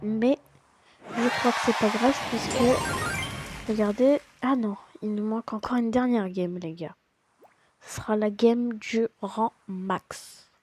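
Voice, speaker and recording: A woman's voice: a little high, fairly even intonation, slow pace. A woman with a slight cold giving a casual, hesitant voice-over of her gameplay, full of fillers and restarts. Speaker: gaming channel host. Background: loud game music.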